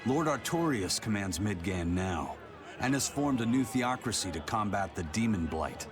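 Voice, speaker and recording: A man voicing a composed, scholarly adventurer in a video game, measured and formal. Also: on the low side, animated, natural voice. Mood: matter-of-fact. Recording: music behind the voice.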